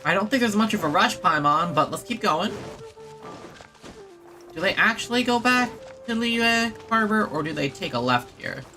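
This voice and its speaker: Streamer: playful, casual commentary, crisp bright tone, very varied intonation. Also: mid-range voice, lively intonation, moderate pace. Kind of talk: casual game commentary, reading text aloud. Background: game music.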